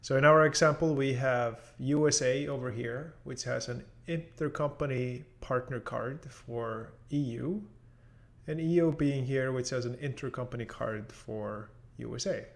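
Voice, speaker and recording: Man narrating software tutorial, creaky voice. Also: medium pitch, measured pace. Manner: informal, thinking aloud step by step. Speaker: software instructor. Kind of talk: unscripted screen-share tutorial narration.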